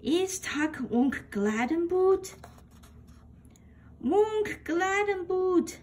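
High-pitched woman reading very slowly. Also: lively intonation, darkish tone. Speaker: picture book reader. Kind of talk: children's read-aloud storytelling. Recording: home recording with background noise.

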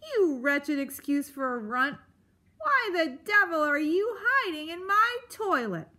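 A woman's voice: fairly high pitch, big pitch swings, unhurried, a bit bright. A woman voicing a cantankerous old male storybook porcupine, blustering and exclaiming crude, colourful insults. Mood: gleeful bellowing.

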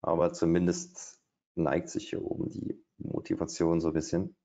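A man's voice: low voice, monotone, moderate pace, dark tone. A middle-aged man doing live trading commentary at his desk, thinking aloud with hesitations and fillers. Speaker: day trader. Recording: dull, lo-fi stream audio.

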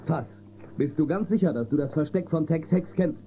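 An actor playing a good-humoured, commanding space-western marshal in a German children's audio drama. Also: male voice, on the high side, moderate pace, a little hiss or hum, close to an everyday voice. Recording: narrow phone-like band, music behind.

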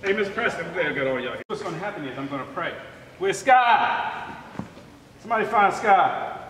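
A man with a high voice directs a stage rehearsal in short, informal instructions. He is far from the camera in a big, heavily echoing theater, with faint noise in the recording. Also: animated, brisk. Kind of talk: rehearsal instructions to cast and crew. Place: indoor theater auditorium. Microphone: distant handheld camera. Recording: echoey theater, picked up from far off.

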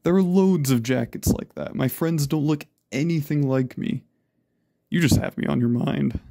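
Man acting mellow-voiced worried young guy. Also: fairly high pitch, moderate pace, gravelly, dry recording, clean studio sound. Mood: defensive, uneasy. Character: worried young man reassuring his frightened friend, lovesick. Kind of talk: dramatised horror story reading. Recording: eerie music behind. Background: ominous background music.

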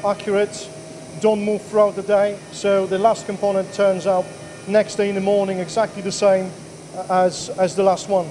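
A man's voice: high voice, monotone, normal speed, dark tone, slight background noise. A man in his thirties, a workshop owner, answering interview questions plainly with many fillers. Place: machine workshop indoors. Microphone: handheld mic.

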